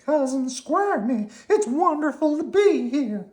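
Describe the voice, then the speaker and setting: A very high-pitched little storybook worm played by a woman, eager and wide-eyed. He exclaims in big swoops and draws his words out slowly, as if easily spooked. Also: sing-song, darkish tone. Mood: delighted. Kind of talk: children's storybook character voice.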